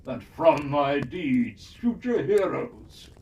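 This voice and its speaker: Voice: mid-range voice, expressive, slow, darkish tone, muffled recording. A storyteller voicing an aging male elf champion, speaking in rhyming verse with a solemn, pleading tone. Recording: distant mic, faint hiss.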